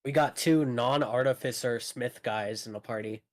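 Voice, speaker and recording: A man's voice: medium pitch, normal speed. A man chatting casually as a player in a tabletop game. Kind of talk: casual chat among gamers.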